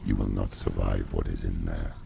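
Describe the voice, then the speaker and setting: A man playing a monstrous, manipulative shadow beast in a video game, guttural and menacing, speaking with slow deliberation. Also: a bass voice, flat delivery, vocal fry, background noise, heavily acted. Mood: grave, persuasive. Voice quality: guttural, growling.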